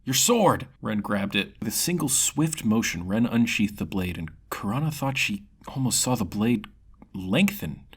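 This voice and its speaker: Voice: mid-range voice, expressive, normal speed, slightly rough. A storyteller narrating their own fantasy tale, sometimes dramatic and sometimes casually addressing listeners. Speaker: storyteller and channel creator.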